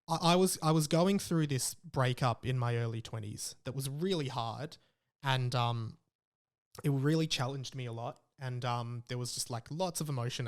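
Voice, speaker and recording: A young Australian man speaks in a medium-pitched voice as he hosts a podcast on his own. His delivery is casual and candid, wandering through his thoughts with plenty of filler words like a chat with friends. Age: young adult. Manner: candid, rambling, peppered with "like". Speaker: podcast host. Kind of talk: casual solo podcast monologue.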